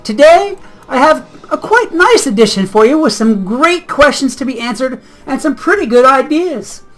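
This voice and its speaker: A woman hosting a casual gaming vlog, slightly hoarse as if getting sick, chatty and rambling and easily sidetracked. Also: high voice, animated, moderate pace. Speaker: gaming channel host.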